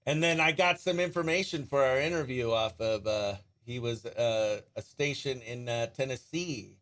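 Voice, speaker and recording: A man hosting a radio show in a breezy, excitable way, chatting and calling out greetings to viewers. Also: medium pitch, normal speed. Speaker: radio host.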